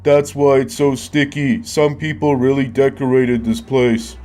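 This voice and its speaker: A man voicing Marcel, a young boy in a children's storybook, speaking slowly and in a questioning, drawn-out way. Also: medium pitch, flat delivery, warm tone, voice pushed up, lightly acted. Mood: puzzled. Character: young boy Marcel, curious then reluctant.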